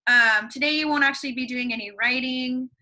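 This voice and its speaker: A woman's voice: sits high, fairly even intonation, a little fast, pushed and bright. A woman teacher reading a story aloud to her class, chatty, with lots of fillers and questions to the children.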